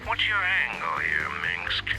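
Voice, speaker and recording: An actor playing a gruff, proud older military general with a gravelly, blustery drawl, heard over a radio in a game. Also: male voice, low-pitched, huge pitch swings, takes it slowly, over music, exaggerated voice. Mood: suspicious. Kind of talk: dramatic video game cutscene acting.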